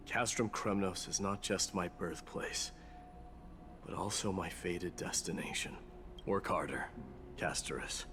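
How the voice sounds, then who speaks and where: Low, solemn warrior prince. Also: male voice. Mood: resigned. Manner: grave, measured, formal. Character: stoic, solemn male warrior prince, fatalistic and proud. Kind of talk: dramatic video game voice acting. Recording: game dialogue with music behind it.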